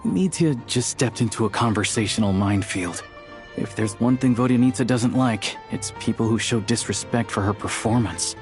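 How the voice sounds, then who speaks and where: A man's voice: fairly low pitch, normal speed, faint music behind, natural voice. A man playing a watchful, wry onlooker who comments dryly in scripted video game dialogue.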